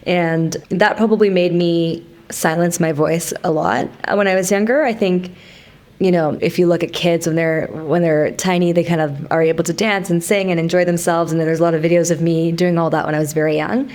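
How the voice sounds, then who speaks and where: Measured low-voiced Canadian woman. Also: fairly even intonation, darkish tone. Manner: reflective, measured storytelling. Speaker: guest, company founder. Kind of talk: casual interview.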